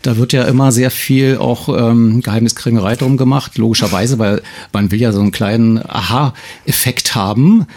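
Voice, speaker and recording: A male radio host speaks at a medium pitch in a studio. He chats informally and wanders into asides as he leads a casual interview. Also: fairly even intonation, normal speed, darkish tone. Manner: chatty, informal, rambling with asides.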